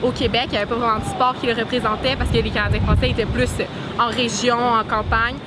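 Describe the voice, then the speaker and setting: A woman's voice: on the high side, flat delivery, fast. A woman with a Quebec French accent explaining her view confidently in a street interview. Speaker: hockey fan interviewed. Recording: loud street noise outdoors.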